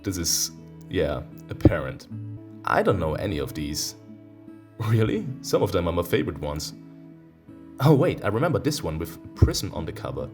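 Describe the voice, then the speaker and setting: Very deep-voiced, animated man over music. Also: normal speed, long pauses. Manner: expressive, teasing, exclaiming. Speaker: let's-play host. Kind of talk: reading a visual novel aloud with playful reactions. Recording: music behind the voice.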